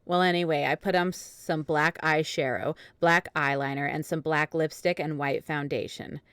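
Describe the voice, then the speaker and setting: A woman's voice: sits low, steady tone, moderate pace, fairly bright tone, smooth, dry recording, studio quality. A woman narrating a fan fiction story in a dramatic reading, keeping a straight face through absurd text.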